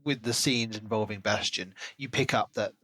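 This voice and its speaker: A man's voice: fairly low pitch, normal speed, bright, forceful tone. A man co-hosting a podcast, discussing a book casually in an analytical, speculative and wry way. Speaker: podcast co-host.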